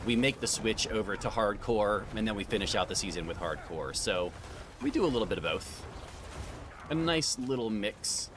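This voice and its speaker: A man's voice: lowish voice, expressive, normal speed. A man chatting casually with viewers as he plays a game live, greeting them by name and calling them sir. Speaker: livestream gamer. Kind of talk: casual live-stream chat while gaming. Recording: clear background noise.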